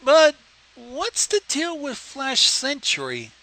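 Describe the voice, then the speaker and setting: A man's voice: very high-pitched, wildly swooping pitch, slow pace, bright, forceful tone. A man co-hosting a livestream, chipping in with casual, wry and teasing comments. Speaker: co-host commenting on the reading.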